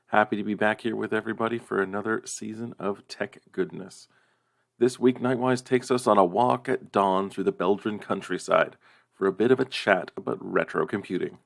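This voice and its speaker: Low, gravelly male podcast announcer. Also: steady tone, moderate pace. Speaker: podcast announcer introducing the episode. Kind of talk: scripted podcast intro.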